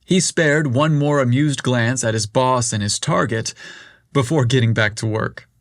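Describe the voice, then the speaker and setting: Male narrator for an audio story, slow and steady delivery, close and dry. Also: mid-range voice, no echo.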